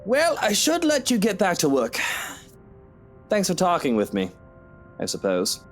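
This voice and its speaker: A cheeky, moody husband in a visual novel. His pitch swings widely as he speaks in teasing, slangy, informal British-style phrases. Music plays behind him. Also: wildly swooping pitch, unhurried, heavily acted. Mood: wry. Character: cheeky, moody married man, musing about his wife. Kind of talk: visual novel game voice acting.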